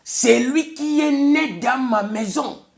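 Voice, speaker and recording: A man's voice: very high voice, slow pace, vocal fry, a crowd in the background. Congolese preacher man, emphatic sermon. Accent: Congolese French. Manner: emphatic, repeats "bien aimé le Seigneur", switches between French and Lingala.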